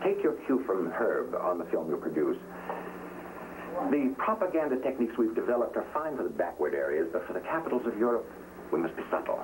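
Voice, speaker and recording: An actor playing a suave, exacting boss of a shady front company in a 1950s TV drama, speaking in a deliberate, declamatory way. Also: male voice, fairly low pitch, sing-song. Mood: instructive. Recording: noisy old 1950s TV soundtrack.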